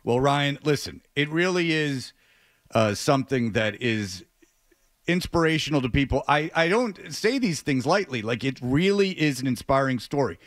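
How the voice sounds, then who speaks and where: A man's voice: medium pitch, sing-song, normal speed, no echo, clean studio sound. A man hosting a sports radio show in casual, conversational banter. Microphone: close studio radio mic.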